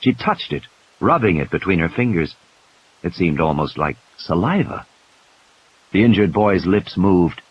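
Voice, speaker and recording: A man's voice: low-pitched, lively intonation, unhurried, pauses often, highs cut off. A man reading scripted dramatic narration as the storyteller of an audiobook. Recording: clear background hiss and noise.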